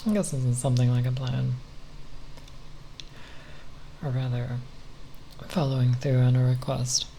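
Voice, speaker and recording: A soft-spoken ASMR creator rambling unscripted, halting and often pausing mid-phrase. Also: mid-range voice, flat delivery, gravelly, a little hiss or hum, low-quality recording.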